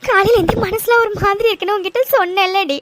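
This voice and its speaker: A woman's voice: very high voice, fairly even intonation, fast talker, heavy background noise. A woman playing a distraught, lovesick young woman, crying and pleading in a melodramatic audio serial. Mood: tearful, fearful. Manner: tearful, pleading. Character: distraught young woman pining for her missing sweetheart.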